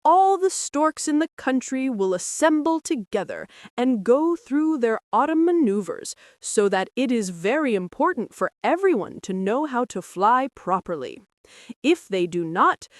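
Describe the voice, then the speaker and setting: A woman's voice: fairly high pitch, very animated, a little slow, no room sound, studio quality. A woman voicing a patient, firm mother stork in a storybook, instructing and reassuring her young. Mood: patient, instructive.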